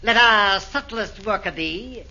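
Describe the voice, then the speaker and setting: An actor playing Caligula as a gloating demon in a 1940s radio play, with a slow, relishing delivery. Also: male voice, sits high, vocal fry, bright, forceful tone, muffled recording, exaggerated voice.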